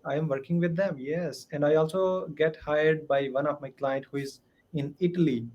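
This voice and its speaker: Earnest Indian-accented man, slightly high. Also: steady tone, a little mellow, highs cut off. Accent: Indian English. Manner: earnest, careful, with many "uh" pauses. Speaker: student, software entrepreneur. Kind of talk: English conversation practice. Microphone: video call.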